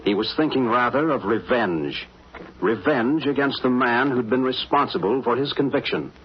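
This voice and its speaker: A man's voice: medium pitch, animated, normal speed, slight background noise. Dramatic 1940s radio announcer, swooping male voice. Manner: dramatic, emphatic. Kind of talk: 1940s radio scripted narration. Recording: muffled old 1940s radio recording.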